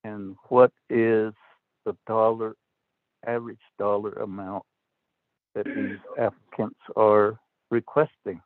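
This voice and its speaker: A man questions an official in a slow, deliberate and stern way. His voice comes through dry and tinny, as over a phone line. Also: fairly low pitch, steady tone, no room sound. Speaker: council delegate. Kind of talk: formal committee questioning.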